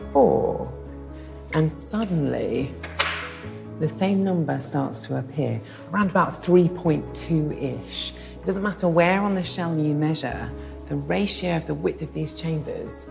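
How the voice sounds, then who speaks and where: A woman presenting a documentary, narrating and explaining in a lively, lightly self-deprecating way. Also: low-pitched, creaky. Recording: music bed under the voice.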